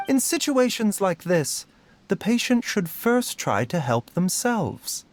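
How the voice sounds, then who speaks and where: An actor playing a gentle, scholarly doctor in a fantasy game, speaking in a measured, polite and cautious way. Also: male voice, sits high. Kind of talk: scripted game voice acting. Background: background music.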